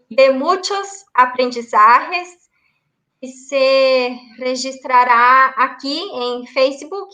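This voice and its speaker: A Brazilian woman moderates a live webinar in Spanish, her Portuguese accent plain in every word. Her voice is high and bright, and she reads her welcome slowly and carefully in a formal tone. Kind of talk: formal read-out introduction. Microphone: video call.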